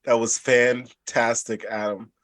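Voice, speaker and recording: A man's voice: mid-range voice, little pitch movement, slow, fairly bright tone, no echo, studio quality. A man co-hosting a podcast in casual group banter, repeating phrases for emphasis. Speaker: podcast co-host.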